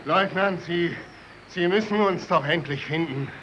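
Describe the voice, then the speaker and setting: An actor playing an anxious, desperate stranded expedition professor in a German radio play. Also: male voice, high-pitched, a little slow, fairly bright tone. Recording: muffled, very noisy old radio drama recording.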